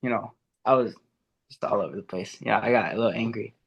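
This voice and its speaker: A man's voice: lowish voice, fast, vocal fry, slightly rough, muffled recording. A young man, an amateur fighter, talking eagerly in a casual podcast interview, with many fillers and restarts. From America, Ecuadorian and Puerto Rican family. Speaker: amateur MMA fighter, guest.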